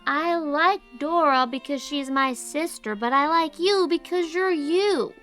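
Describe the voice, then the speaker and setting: A woman's voice: high voice, a little slow, over music. A woman voicing a childlike, earnest, sulky little boy in an audiobook drama, with plain-spoken ungrammatical talk. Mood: earnest, conceding.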